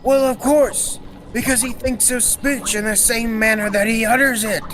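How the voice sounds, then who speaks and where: High-pitched male voice, student actor as earnest excitable inventor, formal technical delivery, radio serial, long reverb, some noise. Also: normal speed. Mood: excited. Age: teenager or young adult. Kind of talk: old-time radio serial acting. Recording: reverberant hall, steady background noise.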